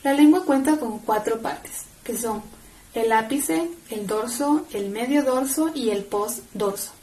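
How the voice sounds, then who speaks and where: A woman's voice: a little high, unhurried, warm tone, a little hiss or hum. A young adult woman giving an explanatory class presentation as a student, in an echoey recording. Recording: echoey room sound.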